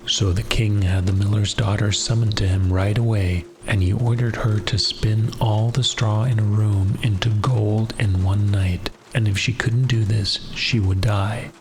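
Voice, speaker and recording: A man reading a scripted fairy tale as an audiobook narrator, in a measured, hushed bedtime manner. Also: lowish voice, fairly even intonation, a little hiss or hum. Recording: music behind the voice. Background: music.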